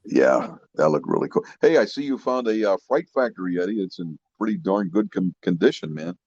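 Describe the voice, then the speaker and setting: A man's voice: sits low, lively intonation, a little fast, darkish tone, slightly rough. A man chatting casually and enthusiastically about vintage collectibles as a podcast co-host, with a folksy manner. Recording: dull, muffled lo-fi audio with room echo.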